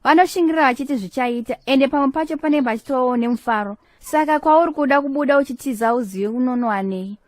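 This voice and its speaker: A woman's voice: high voice, quick pace, hardly any pauses, no room sound, clean studio sound, natural voice. A woman playing an outspoken debater in a comedy cartoon, mixing Shona with English. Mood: scolding.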